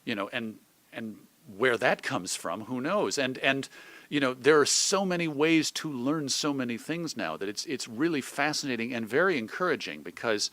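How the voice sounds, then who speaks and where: A man's voice: medium pitch, lively intonation, normal speed, a bit bright, no echo. A middle-aged American man talking casually and reflectively as an interview guest, thinking aloud and illustrating his points with examples. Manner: discursive, thoughtful, illustrates points with examples and imagined quotes. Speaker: interview guest, old-time radio host.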